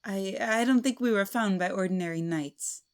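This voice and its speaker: A woman as a wary fantasy bandit with a mid-pitched voice. She sounds unsure and hesitant, in audio drama style. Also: normal speed, smooth, lightly acted. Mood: uneasy.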